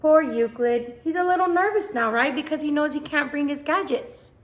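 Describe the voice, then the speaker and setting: A woman reading a picture book aloud to young children, expressive and often putting questions to the listener. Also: sits high, normal speed. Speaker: children's read-aloud host, teacher.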